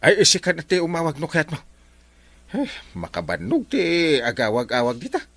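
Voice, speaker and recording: A man with an Ilocano accent playing an excitable village man who nags and advises his friend in a comedy drama. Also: on the high side, fairly quick, dry recording, exaggerated voice. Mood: exasperated.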